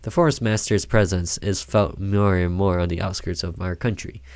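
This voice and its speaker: A man's voice: deep voice, fairly even intonation, normal speed, a little mellow, no room sound. A man voicing an ominous documentary announcer who gives grave warnings in a horror game.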